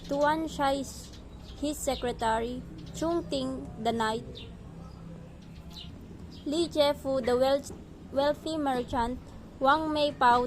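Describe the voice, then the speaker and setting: A woman narrating an amateur radio drama from a script, with small stumbles as she reads. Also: high-pitched. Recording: noisy amateur recording.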